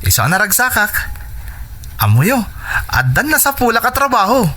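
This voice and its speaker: A man's voice: very high-pitched, big pitch swings, moderate pace, bright, forceful tone, exaggerated voice. A man playing an earnest, determined young son in a radio drama, speaking respectfully with an Ilocano Filipino accent. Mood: happy, determined.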